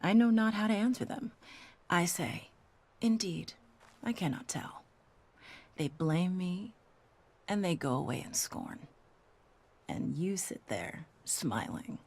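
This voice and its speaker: A woman reading poetry as a wistful, devoted poet, breathy, hushed and deliberate. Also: lowish voice, very animated, exaggerated voice. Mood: wistful, tender. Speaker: voice actor reading quotations for game audio logs. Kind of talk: intimate scripted poetry reading.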